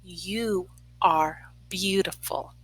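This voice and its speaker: A woman voicing a loving storybook mother who gently reassures her child with affectionate, drawn-out phrases. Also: lowish voice, slightly rough, dry recording, exaggerated voice, low-quality recording. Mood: tender, reassuring. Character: tender, reassuring mother comforting her young daughter.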